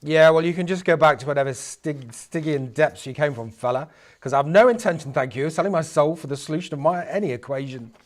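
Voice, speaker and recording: A man's voice: a little high, a little fast, natural voice. A man playing a flustered, brainy mortal who bargains for his soul, hesitant and incredulous, in a dramatic script reading. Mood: indignant.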